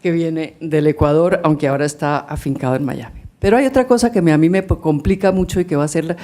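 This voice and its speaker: A moderator opening a civil society panel discussion in a lecture hall, speaking thoughtfully with pauses and fillers. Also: mid-range voice, dark tone.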